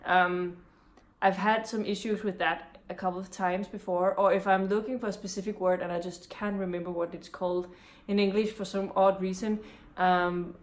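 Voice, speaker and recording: A young woman from Denmark with a Danish accent, talking casually about books in English, with frequent ums and restarts. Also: mid-range voice. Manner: chatty, many ums and restarts. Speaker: book vlogger. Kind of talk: casual vlog chat.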